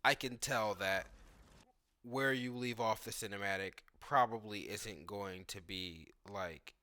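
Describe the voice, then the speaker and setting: A man's voice: fairly low pitch, unhurried, bright, forceful tone. A man giving casual reaction commentary on video game footage, talking informally and thinking aloud as a gaming YouTuber.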